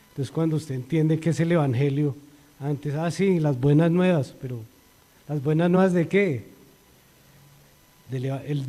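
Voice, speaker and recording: A middle-aged Colombian man with a Colombian accent giving an earnest church testimony, his voice rising when he quotes scripture. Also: fairly high pitch. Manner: earnest, rises and grows louder when quoting scripture. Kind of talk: spoken religious testimony. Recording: long reverberant hall.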